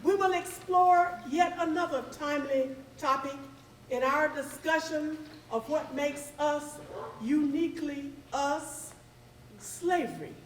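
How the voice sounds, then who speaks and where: Slow, high-pitched woman giving a formal welcome. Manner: oratorical, deliberate, measured phrasing. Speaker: host of a readers theatre. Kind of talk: formal stage welcome address. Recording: roomy, distant mic, faint noise.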